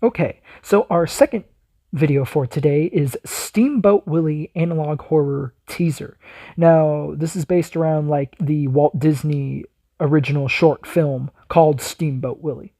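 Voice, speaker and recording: A man's voice: fairly high pitch, unhurried, dark tone, dry recording. A man hosting a reaction video, giving casual off-the-cuff commentary.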